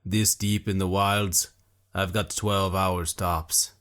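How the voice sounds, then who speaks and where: A gruff, coarse warrior growls out blunt words in a low, grumbling voice, as a man reads him aloud. The voice sits deep and the delivery is slow and heavy, like a storybook fighter. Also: flat delivery, a bit bright.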